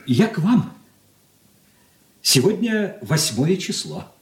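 An actor playing a petty, self-important son-in-law in a radio drama, fussy, pompous and querulous. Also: male voice, on the high side, big pitch swings, slow pace. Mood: aggrieved. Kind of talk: Soviet radio drama stage acting. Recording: echoey studio, distant from mic.

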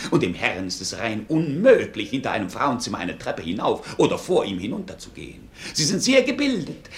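Mocking jester showman in a radio drama, highish voice all over the place, wordy n pompous patter. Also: fairly bright tone. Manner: declamatory, wordy, mock-ceremonious showman patter. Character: glib, melancholy-mocking male court fool playing showman. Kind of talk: theatrical radio play acting.

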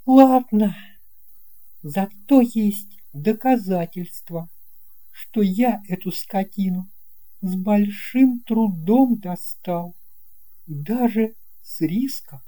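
A man voicing a plucky, scheming young boy hero who thinks aloud deliberately in a storybook. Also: sits high, mellow, voice pushed up. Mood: grumbling, determined.

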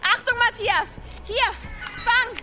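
Cheeky girl, very high voice. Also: takes it slowly, over music. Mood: bold, playful. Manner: cheeky, lively. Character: bold, teasing, illiterate young female villager. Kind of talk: German radio drama acting.

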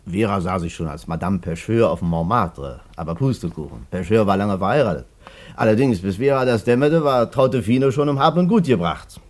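A man's voice: fairly low pitch, animated, fairly quick, no echo. An actor playing a down-to-earth, familiar male friend in a radio drama, speaking bluntly and colloquially with wry reassurance. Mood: wry, narrating.

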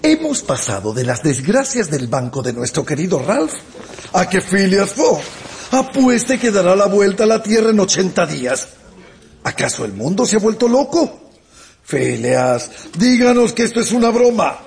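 A man playing a genial, peacemaking club gentleman in a radio drama, courteous and theatrical, over a music bed. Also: high voice, very animated, normal speed, vocal fry, exaggerated voice. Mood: exasperated, pleading.

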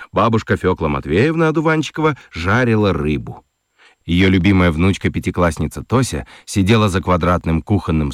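A man narrating a children's radio play in an expressive storytelling manner. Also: low-pitched, no room sound, studio quality.

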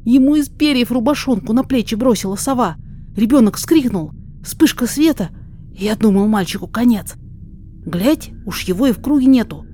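Village herb gatherer and guide voiced by a woman, humble, measured verse storytelling for audio drama, faint background noise. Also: on the high side, expressive, dark tone, natural voice. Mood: dread, haunted. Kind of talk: rhymed verse drama reading.

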